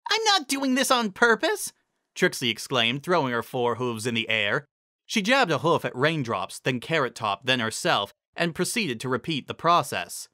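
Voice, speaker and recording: Bright, animated story narrator, close and dry. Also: medium pitch, normal speed, no echo. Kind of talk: dramatic scripted story narration.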